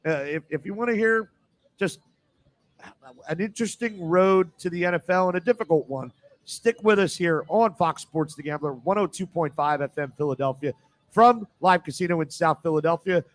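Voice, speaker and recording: A man with a notably high-pitched voice hosts a live sports radio show. He shifts between announcing and relaxed chat. The sound is dry, with very little room echo. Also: normal speed. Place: casino venue in South Philadelphia.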